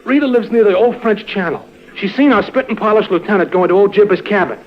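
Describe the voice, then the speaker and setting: A man's voice: sits high, fast talker, natural voice. An actor playing a blunt, hot-tempered army sergeant in an old radio drama, talking brash tough-guy slang. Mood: urgent. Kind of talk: 1950s radio drama acting. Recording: muffled vintage radio recording.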